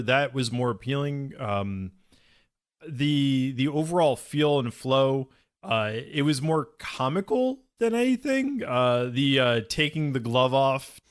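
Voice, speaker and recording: Male podcast co-host, middle-register voice, conversational, feeling his way through sentences haltingly. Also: medium pitch. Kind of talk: casual chat.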